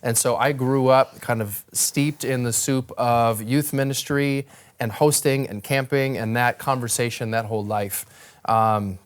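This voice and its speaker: A young American man speaks as a guest, telling his own story. His voice is very clear and smooth at a medium pitch. He is conversational, articulate and reflective. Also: fairly even intonation, unhurried. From northern Wisconsin, United States. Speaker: interview guest, ministry worker.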